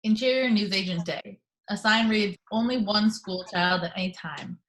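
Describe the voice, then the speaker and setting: Mid-pitched woman reading screenplay directions. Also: moderate pace, a bit bright, low-quality recording. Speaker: narrator reading action descriptions. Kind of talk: scripted screenplay narration.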